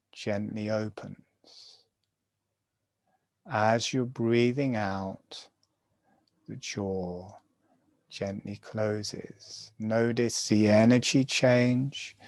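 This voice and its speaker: A man guiding a webinar through a gentle jaw and breathing exercise, speaking softly and soothingly in short, unhurried phrases. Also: fairly low pitch, dry recording. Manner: slow, soft, soothing guidance in short phrases. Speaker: breathing and relaxation instructor. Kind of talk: guided relaxation exercise.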